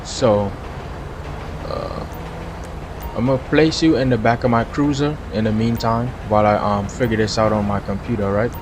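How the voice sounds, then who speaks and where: A man's voice: fairly low pitch, fairly even intonation, moderate pace, background noise. A man from Trinidad with a Trinidadian accent, casually commentating a police game stream and chatting with viewers. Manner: casual, chatty, laughs often. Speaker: gaming streamer. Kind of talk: live game commentary with chat.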